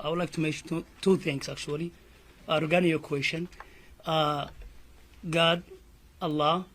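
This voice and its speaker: Man, Somali accent, imam, high-pitched, earnest, repetitive, press statement. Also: a bit bright. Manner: earnest, repetitive, many "you know" fillers.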